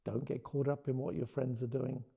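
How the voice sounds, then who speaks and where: Creaky, mellow male storyteller. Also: medium pitch, flat delivery, normal speed. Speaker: author and storyteller. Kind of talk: expressive picture-book read-aloud and informal explanation.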